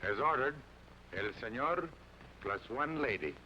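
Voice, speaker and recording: An actor playing a dutiful captain who speaks with courteous formality, in old radio drama style. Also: male voice, medium pitch, lively intonation, measured pace, pushed and bright, a little hiss or hum. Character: polite, dutiful cavalry captain. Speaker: capitan, officer. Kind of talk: 1940s radio acting. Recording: dull old radio broadcast.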